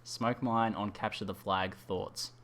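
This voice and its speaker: A man's voice: on the low side, steady tone, a little slow, a bit bright. A man hosting a casual gaming podcast, chatting informally and reading out questions from the chat. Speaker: podcast host.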